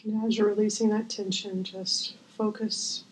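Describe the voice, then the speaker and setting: A woman guiding a visualization as host, gentle and unhurried with long pauses, her voice breathy and often near a whisper. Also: mid-range voice, level tone, slight background noise, low-quality recording. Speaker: guided meditation host. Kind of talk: guided visualization, meditation.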